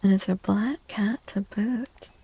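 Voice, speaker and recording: A woman speaking softly and breathily in a gentle, unhurried half-whisper, chatting as an ASMR livestream host. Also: medium pitch, slightly rough, dry recording. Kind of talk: soft-spoken ASMR livestream chat. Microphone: close microphone, dry.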